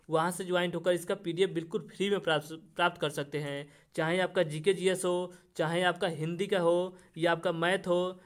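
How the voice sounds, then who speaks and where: A man explaining things informally as an exam-coaching teacher and channel host, addressing viewers directly in repetitive, formulaic phrases. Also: high voice.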